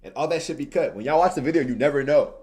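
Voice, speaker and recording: A man's voice: on the high side, quick pace, darkish tone. Slangy young man streaming casually. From Tanzania. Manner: informal, repeats phrases for emphasis. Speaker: streamer and video creator. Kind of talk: casual livestream chat, slangy.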